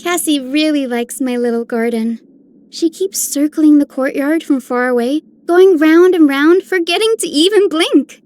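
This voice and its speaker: A woman's voice: sits high, measured pace, darkish tone. A woman playing a cute, sunny, sweet young girl in a video game. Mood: gentle, amused. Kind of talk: scripted character voicelines.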